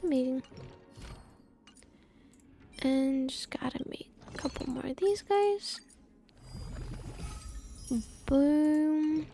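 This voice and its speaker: High voiced girl streamer chatting to herself slowly while gaming, playful, music behind. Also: mellow. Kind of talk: casual live game stream commentary.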